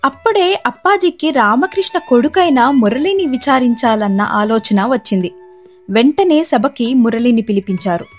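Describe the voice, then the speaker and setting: Woman narrator, fairly high pitch, scripted storytelling, soft background music. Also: lively intonation, moderate pace.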